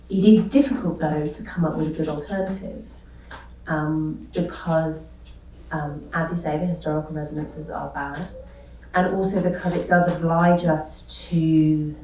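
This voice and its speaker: A woman panelist speaking in a quiet, measured way. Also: low voice, fairly even intonation, slight background noise. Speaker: panelist, author. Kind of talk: panel discussion. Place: lecture hall. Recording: very echoey hall, voice far from mic.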